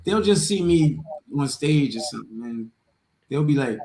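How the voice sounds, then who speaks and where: A man's voice: medium pitch, lively intonation, normal speed, darkish tone. A middle-aged man chatting casually in an emphatic, slangy, repetitive way. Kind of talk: casual, streetwise chat. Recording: roomy echo with faint noise.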